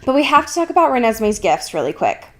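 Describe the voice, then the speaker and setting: A woman's voice: a little high, big pitch swings, fast, a bit bright. A woman podcast host casually recapping a book in a sardonic, informal, sweary manner.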